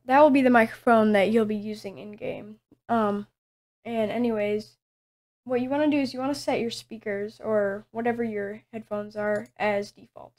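A woman presenting a casual software tutorial in a halting, hesitant way. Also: a little high.

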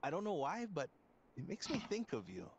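Young surfer dude, slightly high voice, laid-back, earnest, slangy, video game dialogue. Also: male voice, huge pitch swings, a little fast, creaky, dull audio, slight background noise, exaggerated voice. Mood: tender, earnest. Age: young adult.

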